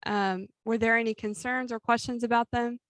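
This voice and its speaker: A woman's voice: fairly high pitch, fairly even intonation, a bit bright, no echo. Young woman chairing a meeting. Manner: brisk procedural, lightly amused. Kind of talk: running a student government meeting. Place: meeting with a Zoom link.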